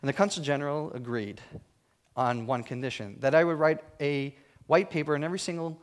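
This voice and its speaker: A man's voice: fairly high pitch, a little fast. A man giving a talk in a church hall, telling humorous, self-mocking stories about his work. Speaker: guest speaker. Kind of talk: storytelling talk.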